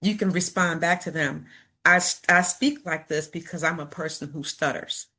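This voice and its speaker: A woman's voice: low voice, fairly even intonation, normal speed, bright, forceful tone. A middle-aged woman from Chicago, a motivational speaker who stutters and repeats words, talking earnestly and encouragingly to an audience. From Chicago, United States. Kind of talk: personal talk to an audience.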